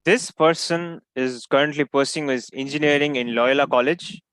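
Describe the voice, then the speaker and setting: Ceremonious man hosting, crisp dry voice. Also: mid-range voice, moderate pace, a bit bright, no echo. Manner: ceremonious, announcing. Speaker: toastmaster of the meeting. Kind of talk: formal meeting hosting.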